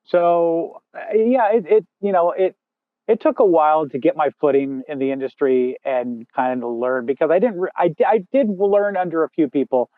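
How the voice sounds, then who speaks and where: Booming-voiced man on a tinny line. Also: normal speed, no echo. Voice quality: big loud booming voice. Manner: rambling, frequent fillers and restarts. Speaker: podcast guest, first assistant director. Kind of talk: casual interview chat. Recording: dry tinny phone-like sound.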